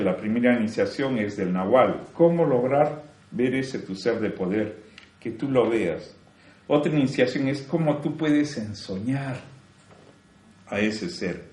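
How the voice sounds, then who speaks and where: Male spiritual teacher, low voice, echoey room, slow emphatic delivery, rhetorical questions. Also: a little mellow. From Peru. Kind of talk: spiritual teaching talk to a group.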